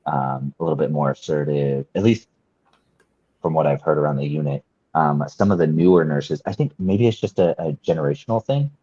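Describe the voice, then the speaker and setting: A man's voice: a bass voice, fairly quick, dark tone, husky, no room sound, muffled recording. A male nurse on a panel, talking thoughtfully and hedging with fillers. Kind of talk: casual panel chat.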